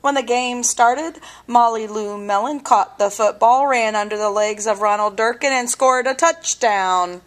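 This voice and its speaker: A woman reads a picture book aloud for children. Her voice sits slightly high and she goes slowly, phrasing expressively and pausing for drama. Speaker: storybook reader. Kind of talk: children's story read-aloud.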